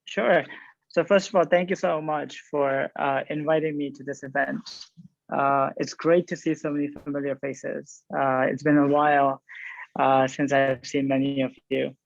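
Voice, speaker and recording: A man's voice: on the high side, flat delivery, moderate pace, vocal fry, no echo, muffled recording. A man, answering panel questions thoughtfully with many fillers. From Pakistan. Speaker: panel guest, resident surgeon.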